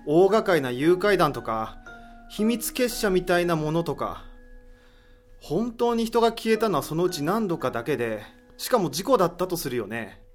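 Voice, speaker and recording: Man playing a high-voiced, skeptical reluctant sidekick. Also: very animated, moderate pace, soft background music. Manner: casual, questioning, sardonic. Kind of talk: audio drama acting.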